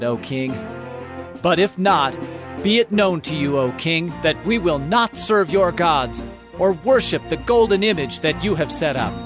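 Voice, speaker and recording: An actor playing a defiant, faithful Hebrew captive in a dramatized audio Bible, speaking in a resolute, formal, declamatory way. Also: male voice, a little high, natural voice. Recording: prominent music behind the voice.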